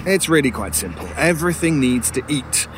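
A man's voice: on the high side, animated, fairly quick, noisy background, lightly acted. A man playing a sinister talking crow-like scavenger in an audio horror drama, formal, erudite and drily mocking. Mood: smug, matter-of-fact.

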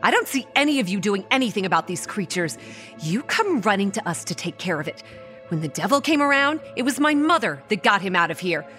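An actress playing a proud, combative young woman who narrates her own horror audio drama in a stern, measured way. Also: medium pitch, bright, forceful tone. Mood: defiant. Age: young adult. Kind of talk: first-person dramatic narration with dialogue.

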